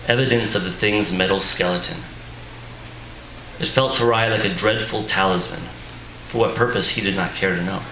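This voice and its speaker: A man, an author, reading his fiction aloud at a live event in a measured, deliberate way. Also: lowish voice, pushed and bright. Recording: echoey room with faint noise.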